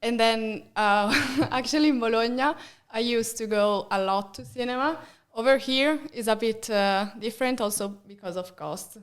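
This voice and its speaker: A woman's voice: on the high side, moderate pace. A young woman with an Italian accent, a podcast guest chatting casually with many fillers and small laughs.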